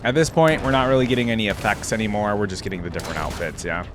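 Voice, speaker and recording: A man's voice: fairly low pitch, very fast, background noise. A man giving casual, off-the-cuff reaction commentary, thinking aloud in a chatty way with informal slang. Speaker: host of a reaction video.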